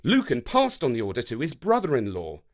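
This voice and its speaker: A man narrating scripted history and verse for children in a measured, dramatic way. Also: mid-range voice. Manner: measured, expressive, dramatic delivery. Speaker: storyteller narrator.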